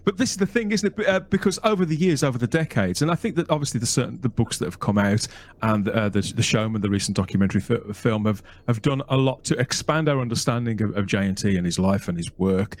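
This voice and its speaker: A man's voice: medium pitch, fast. A man hosting a podcast interview, asking leading questions that end in tags like "wasn't he?". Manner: animated, leading questions with tag questions. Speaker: podcast host. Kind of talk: conversational interview.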